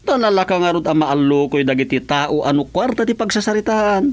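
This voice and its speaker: A gossipy ilocano mister in a radio comedy, highish voice, stammers and hesitates a lot. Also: male voice, lively intonation, brisk. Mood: hesitant, gossipy. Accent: Filipino, Ilocano. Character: hesitant gossiping gentleman, Mister Kaasay, bringing foundation news. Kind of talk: comic radio drama dialogue.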